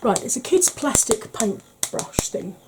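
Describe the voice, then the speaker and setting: A woman from the UK, a beauty vlogger talking through a makeup tutorial in a quiet voice that keeps slipping into whispers. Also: fairly high pitch, sing-song, unhurried, very noisy. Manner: quiet, soft-spoken, drifting into whispers.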